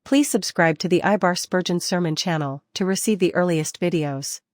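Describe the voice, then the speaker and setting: A woman's voice: on the low side, normal speed. A woman reading a short scripted announcement for a channel.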